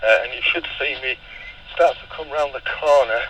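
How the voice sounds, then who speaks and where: A man's voice: medium pitch, moderate pace, fairly bright tone, rough recording. A man with a British accent giving a casual running commentary over a walkie-talkie radio as he walks. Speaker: product reviewer. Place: outdoors on residential streets. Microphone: handheld walkie-talkie.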